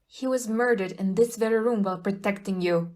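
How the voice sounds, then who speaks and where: A woman playing a brisk, no-nonsense police inspector in an audio play, speaking matter-of-factly. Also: medium pitch, a little mellow, lightly acted. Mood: firm. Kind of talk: amateur audio play acting.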